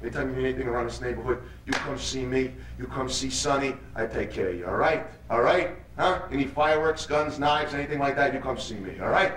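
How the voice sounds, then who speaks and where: A man's voice: mid-range voice, fast talker, hardly any pauses, a bit bright, natural voice. Echoey, badgering New street tough played by a man. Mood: falsely friendly. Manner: badgering, repetitive, punctuates lines with "huh?". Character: menacing, mocking male street tough, cocky gang leader. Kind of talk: solo theatrical monologue, street slang. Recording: echoey room, distant mic, noisy.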